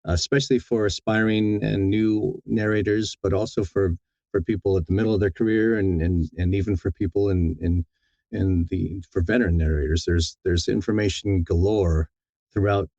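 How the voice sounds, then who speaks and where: Man, very low pitch, dark relaxed tone, deliberate, halting, conversational interview answers. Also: gravelly, dry recording, muffled recording. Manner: halting, deliberate, repeats words as he thinks. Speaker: audiobook narrator, interview guest.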